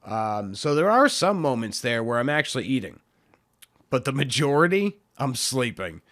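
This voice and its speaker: A man hosting his own podcast, talking in a loose, rambling way with lots of fillers, his voice hoarse. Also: mid-range voice, very animated, moderate pace, a bit bright. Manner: rambling, filler-heavy, self-deprecating. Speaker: podcast host. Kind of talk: casual solo podcast talk.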